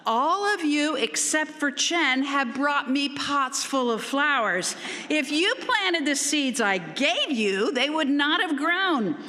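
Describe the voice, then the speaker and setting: A woman's voice: high-pitched, animated, slow, sharp tone, pitched above the performer's own voice, heavily acted. A woman storyteller voicing an aging, kindly king who makes formal, grand announcements. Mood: proud, firm. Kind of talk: storytelling character voice. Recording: long hall reverb.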